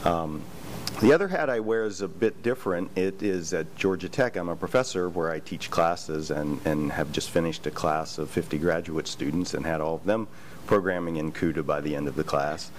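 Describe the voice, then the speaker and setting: A man introducing himself on a conference panel as a researcher and professor, explaining in a steady way with some fillers. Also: deep voice, moderate pace, a little hiss or hum. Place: conference hall with audience.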